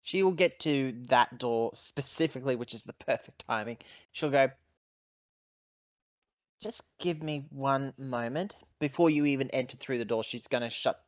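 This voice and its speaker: A woman's voice: very deep voice, fairly quick, no echo. Woman, tabletop player, casual narration, table chat, close dry mic, muffled top end.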